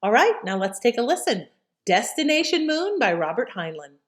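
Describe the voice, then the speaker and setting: A woman's voice: on the high side, very animated, moderate pace. A woman hosting an old-time radio programme, introducing it in a casual, conversational, musing way.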